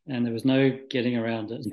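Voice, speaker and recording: Male interview guest, from Scotland and New Zealand, medium pitch, thoughtful and halting, recorded in an echoey room. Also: dull audio. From Scotland, moved to New Zealand at nine. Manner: halting, thoughtful, searching for words. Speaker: interview guest, spiritual practitioner. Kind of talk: reflective, conversational interview answers.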